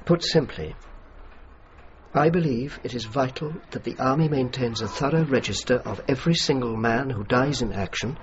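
A male actor plays a dutiful English official in a radio play. His mid-range voice is measured, educated and courteous yet insistent. The recording is a touch muffled, with faint noise. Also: mid-range voice, natural voice. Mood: earnest, insistent. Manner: formal, measured, earnest. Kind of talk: radio drama acting and reflective narration.

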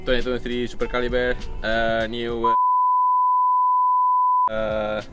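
Distant man under loud music. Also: medium pitch, monotone, slow pace.

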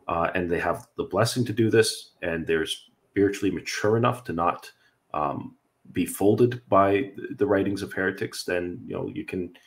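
A young Canadian man, an Orthodox priest, answering viewer questions in an earnest, explanatory way over a video call from a room at home. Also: sits low. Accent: Canadian English. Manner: earnest, explanatory, with frequent fillers and light laughs. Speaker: Orthodox priest hosting a livestream. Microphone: video call webcam.

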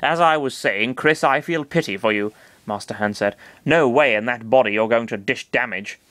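A man's voice: medium pitch, moderate pace, fairly bright tone, no room sound, lightly acted. A man voicing a smug, condescending giant cosmic hand with a sarcastic, grumbling manner.

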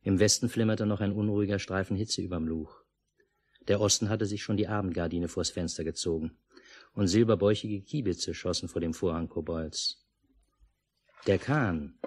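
A man playing a reflective father who narrates in the first person, measured and literary, in a 1950s German radio drama. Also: sits low, darkish tone. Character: pensive father narrating his lakeside memory. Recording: noisy 1950s mono radio recording.

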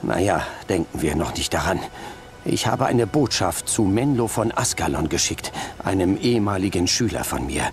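A man's voice: low voice, animated, moderate pace. A man playing a grave, authoritative mentor in a game cutscene, earnest and commanding. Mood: grave, urgent. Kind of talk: dramatic game cutscene acting. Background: game music.

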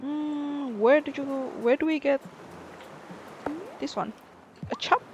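A woman's voice: sits high, slow, muffled recording, a little hiss or hum. A woman giving casual gameplay commentary, thinking aloud as she plays. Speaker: gaming video player.

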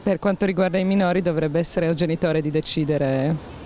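A woman's voice: low-pitched, a little fast, warm tone, a little hiss or hum. A woman answering a street interview outdoors into a handheld mic, with short decisive answers. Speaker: passer-by interviewee. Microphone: handheld mic held by interviewer.

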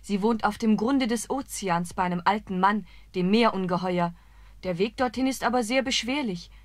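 A kind young princess in a storybook radio play, voiced by a woman gentle and caring. Her voice is mid-pitched and sits very dry and close, with no room sound. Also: moderate pace, no echo, close to an everyday voice. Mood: concerned. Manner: gentle and warning. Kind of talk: German fairy-tale radio play.

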